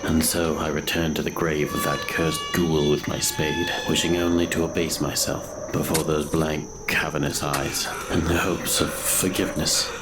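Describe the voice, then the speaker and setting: Heavy background noise with rain, a guilt-ridden grave robber, low voice, brooding, deliberate, gothic horror audio drama. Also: male voice, level tone. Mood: brooding, remorseful. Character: guilt-ridden male grave robber narrating his doom.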